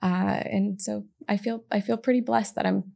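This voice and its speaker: A woman's voice: mid-range voice, steady tone, normal speed, vocal fry, warm tone, breathy edge. A woman in her thirties, born in Israel, talking enthusiastically as a guest chiropractor in a small studio at a desk mic, with many fillers and restarts. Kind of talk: casual interview. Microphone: desk mic on an arm.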